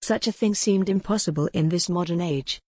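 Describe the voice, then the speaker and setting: A woman with a fairly low voice narrates a historical romance. Her delivery is scripted storytelling for an audiobook, recorded dry with little room sound. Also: brisk, darkish tone, dry recording.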